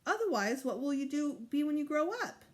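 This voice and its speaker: A woman voicing a patient, genial male school principal in a storybook, polite and measured. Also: high voice, animated, voice pushed up. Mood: patient, amused.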